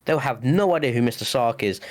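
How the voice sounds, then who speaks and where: A man's voice: mid-range voice, lively intonation, a little fast. A young man from the UK co-hosting a casual podcast, chatty and nostalgic, swearing now and then and acting out his own reactions. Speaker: podcast co-host. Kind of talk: casual chat.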